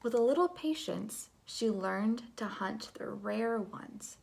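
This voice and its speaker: A woman reading a picture book aloud to children in an expressive, dramatic way, moving between hush and big swells. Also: on the high side, slow pace, creaky, low-quality recording. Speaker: storybook reader.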